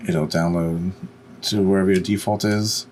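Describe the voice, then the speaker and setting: A man hosting a step-by-step software tutorial with plain, unhurried instruction. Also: low-pitched, steady tone, a little hiss or hum. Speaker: tutorial host. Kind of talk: step-by-step software screencast narration.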